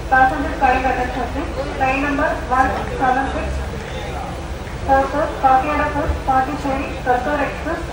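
A woman gives a platform announcement in a high, flat voice. She stretches every word very slowly. It comes from a distant loudspeaker with noise around it. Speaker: station announcer.

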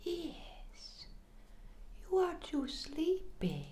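A middle-aged woman doing soft, breathy, mostly whispered ASMR, speaking soothingly and intimately to the listener with repeated phrases. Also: high-pitched, huge pitch swings, extremely slow. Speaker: ASMR creator. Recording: roomy echo, clear background noise. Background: noise and clicking of tapped glass.

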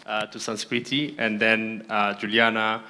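A man in his thirties from Canada moderates a conference panel. His voice is bright and forward, and he talks informally, often repeating himself. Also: fairly low pitch, little pitch movement, unhurried. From grew up in Montreal, Canada. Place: indoor conference stage. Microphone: handheld microphone.

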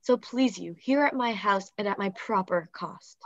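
A woman's voice: mid-range voice, moderate pace, dry recording, natural voice. A woman playing a dignified noble lady in a Shakespeare comedy read over video call. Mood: gracious. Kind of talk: live table read of Shakespearean verse drama. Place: indoor room, video call. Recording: dull video-call audio.

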